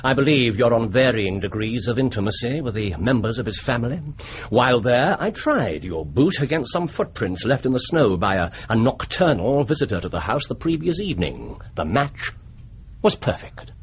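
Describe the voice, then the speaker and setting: Man acting a brilliant english gentleman detective, swoopy precise delivery, muffled noisy radio play. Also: mid-range voice, very animated, close to an everyday voice. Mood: coolly insinuating. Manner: precise, theatrical, deliberate. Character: brilliant, self-assured gentleman detective, male, middle-aged.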